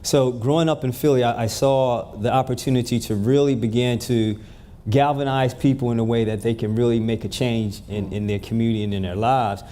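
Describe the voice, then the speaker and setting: A man in his fifties from New Jersey, a guest telling stories in a casual interview, relaxed and given to repeating words. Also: medium pitch, fairly quick. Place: event room with a live audience.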